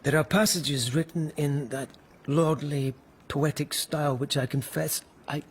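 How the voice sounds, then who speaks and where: A man's voice: on the high side, steady tone, unhurried, breathy edge. An actor playing a dogged, intense palace policeman in a radio drama, speaking in an earnest, formal and carefully courteous way. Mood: nervous, formal.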